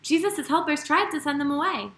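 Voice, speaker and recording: A woman pastor hosting storytime for young children, talking and reading aloud in an animated, sing-song manner straight to her listeners. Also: high-pitched, fairly quick, reverberant.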